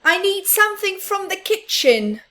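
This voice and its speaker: A woman's voice: very high-pitched, big pitch swings, a little slow, sharp tone, pitched above the performer's own voice, heavily acted. A woman voicing a busy, dreamy little boy mouse in a children's picture book, eager and self-important. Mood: hurried, eager.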